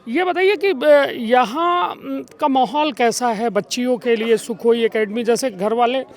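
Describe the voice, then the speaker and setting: A man's voice: very high-pitched, a little slow. A male reporter with a Bagheli accent, interviewing people on the spot and commenting with emphasis, slipping dialect words into standard speech. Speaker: news reporter.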